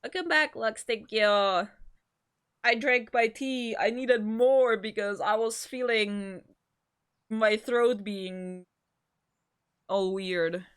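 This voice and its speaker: A woman with a deep voice who streams, reading a visual novel aloud and chatting with her viewers in a giggly, rambling way. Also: extremely slow, pauses often, smooth. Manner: giggly and rambling in chat, even-paced when narrating. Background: faint game music.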